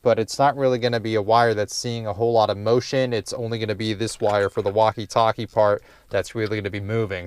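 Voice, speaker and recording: A man's voice: lowish voice, smooth, no echo. A man giving a casual, unscripted DIY how-to narration in a rambling, wry, casually profane way inside a car. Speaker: DIY car project host.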